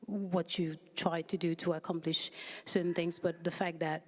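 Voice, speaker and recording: A woman's voice: fairly low pitch, level tone, normal speed. A woman from Haiti giving an unscripted personal account on a panel, searching for words as she goes, in a reverberant hall. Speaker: panel speaker. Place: large hall at a public forum.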